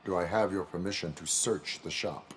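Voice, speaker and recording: Man as very deep, deadpan cyborg cop. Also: moderate pace, slight background noise, natural voice. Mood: flat, official. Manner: formal, deadpan, clipped official phrasing. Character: stern robotic cyborg police officer, male, unbending. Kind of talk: video game cutscene acting.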